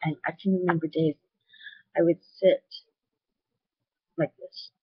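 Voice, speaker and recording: Woman vlogger, loud voice, candid and earnest, husky tone, dry muffled webcam sound. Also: sits low, steady tone, measured pace, dry recording. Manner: candid, earnest, sharing personal experience. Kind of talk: personal video talk.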